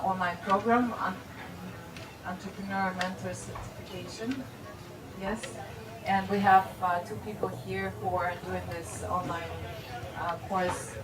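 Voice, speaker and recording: A woman hosts and introduces the next speaker, hesitant and full of fillers. She is heard from across an open-air pavilion, her voice roomy and distant. Clear background ambience runs under her words. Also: mid-range voice, background noise. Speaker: event host.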